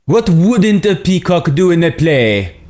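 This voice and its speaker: A man's voice: high-pitched, big pitch swings, measured pace, darkish tone. A man acting an airy, self-assured, flamboyant playwright in a period comedy table read. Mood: breezy.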